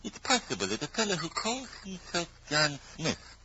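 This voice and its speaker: An actor playing a folksy, deferential police sidekick with colloquial, ungrammatical musing, in old radio mystery style. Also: male voice, on the high side, very animated, normal speed. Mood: curious, musing. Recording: noisy old radio drama recording.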